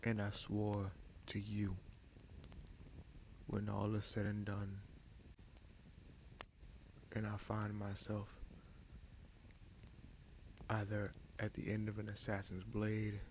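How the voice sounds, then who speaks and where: A man playing a vengeful, brooding bastard prince and sorcerer in a fantasy audio roleplay, speaking in a measured, solemn, halting way. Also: on the low side, monotone, a little mellow, slight background noise, low-quality recording. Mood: solemn, earnest.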